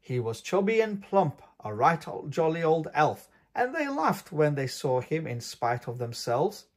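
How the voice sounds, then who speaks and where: Very animated man reading a children's storybook. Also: on the high side, a little slow. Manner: expressive, singsong storytelling. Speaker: host of a children's read-aloud channel. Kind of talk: storybook read-aloud for young children.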